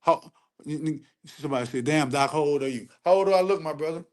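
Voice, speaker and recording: A man's voice: a little high, fast. Profane preachy man ranting on a stream. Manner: preachy, profane, repeats phrases for emphasis. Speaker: livestream host answering chat. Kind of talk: casual unfiltered livestream rant.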